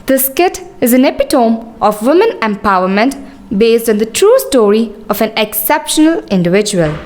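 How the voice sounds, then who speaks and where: A woman's voice: on the high side, sing-song, moderate pace, darkish tone. A woman with an Indian accent presenting a school show in a formal, scripted and ceremonious speech. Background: music.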